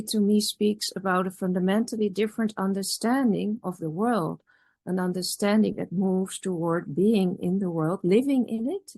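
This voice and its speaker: A woman's voice: lowish voice, gravelly, darkish tone, dry recording. Reflective woman, Dutch native speaker. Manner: measured, reflective, with restarts and pauses. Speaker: conference speaker. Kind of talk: reflective academic talk.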